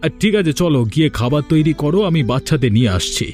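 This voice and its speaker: A man playing a worried, affectionate village farmer husband and father in a Bengali cartoon, with an expressive, complaining delivery. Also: on the high side, fast, faint music behind, close to an everyday voice. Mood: affectionate, playful.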